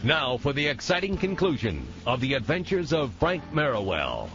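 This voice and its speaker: A man's voice: mid-range voice, big pitch swings, normal speed. A man hosting a vintage radio podcast in a scripted presenter's delivery. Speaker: podcast host. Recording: slightly dull, music behind.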